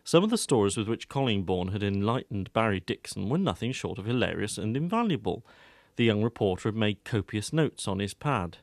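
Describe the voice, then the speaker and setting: A man's voice: on the low side, big pitch swings, brisk, no echo, clean studio sound. A man reading scripted narration for an audiobook drama as its storyteller.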